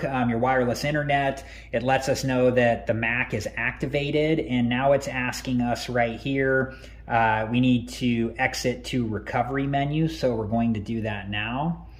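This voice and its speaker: A man hosting a tech tutorial, calmly talking through each step on screen with frequent ums and uhs. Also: medium pitch, normal speed. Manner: relaxed, many ums and uhs.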